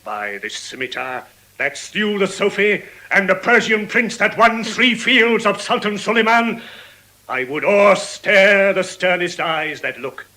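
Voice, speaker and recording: An actor playing a proud, ardent warrior prince, declaiming verse in a grandiose classical drama style. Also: male voice, high voice, measured pace, sharp tone, rough recording. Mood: boastful. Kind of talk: declamatory Shakespearean verse acting.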